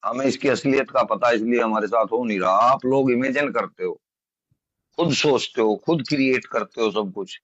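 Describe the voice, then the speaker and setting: A man's voice: medium pitch, normal speed, fairly bright tone, no echo. A middle-aged man argues bluntly and insistently in an informal debate on a phone call. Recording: dull lo-fi phone call recording.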